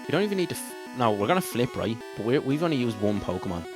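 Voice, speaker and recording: A man co-hosting a role-play podcast, bantering casually and excitably, swearing and repeating himself for emphasis. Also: mid-range voice, fast talker. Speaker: podcast co-host and player in an audio role-play. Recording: music bed clearly under voice.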